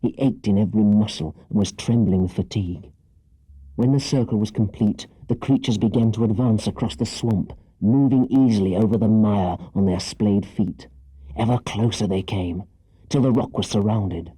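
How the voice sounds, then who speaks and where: A man narrating a children's story as an audiobook reader, with dramatic, scripted delivery and a husky voice. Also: lowish voice, normal speed, dark tone, no room sound, rough recording.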